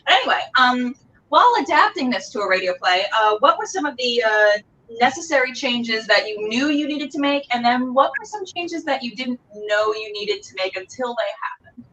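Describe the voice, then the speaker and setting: Effusive woman host, high swooping voice. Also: expressive, normal speed, bright, forceful tone, slight background noise. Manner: effusive, exclamatory. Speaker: interview show host. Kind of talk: casual livestream interview. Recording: dull, slightly distant sound.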